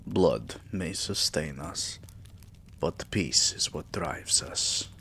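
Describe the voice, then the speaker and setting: Man voicing a deep, slow, tender vampire king. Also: expressive, a little hiss or hum, exaggerated voice. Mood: sorrowful, weary. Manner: slow, hushed, breathy murmur, often dropping to a whisper; regal, doting endearments. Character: tender, possessive immortal vampire king, soothing his beloved pet. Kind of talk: intimate ASMR roleplay acting.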